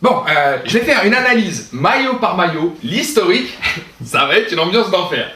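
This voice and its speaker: A man in his thirties playing an excitable, football-obsessed dinner host who rambles with lots of fillers. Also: high voice, normal speed, heavily acted. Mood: eager. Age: 30s. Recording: roomy, distant camera audio, faint noise.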